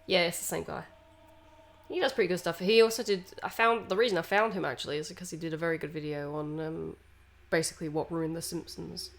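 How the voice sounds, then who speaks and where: A woman's voice: lowish voice, steady tone, fast talker, vocal fry. A woman co-hosting a game stream, mixing casual, sweary banter with reading game text aloud. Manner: irreverent, sweary.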